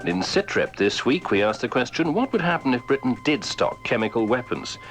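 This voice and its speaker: A man's voice: mid-range voice, very animated, fairly quick, soft background music. A man reading a scripted introduction as a radio programme presenter.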